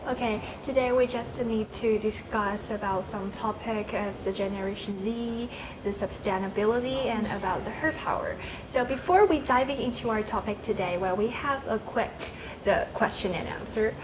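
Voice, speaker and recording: A woman's voice: medium pitch, moderate pace, few pauses, background noise. A woman hosting and moderating a round-table discussion, with slightly unidiomatic phrasing. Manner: brief backchannel replies, slightly unidiomatic phrasing. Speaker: host.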